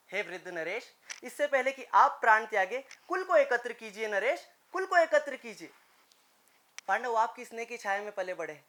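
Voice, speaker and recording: A man's voice: sits high, sharp tone. A man playing a solemn, persuasive royal peace envoy in a formal, theatrical mythological monologue, declaiming and pleading with emphatic repeated phrases.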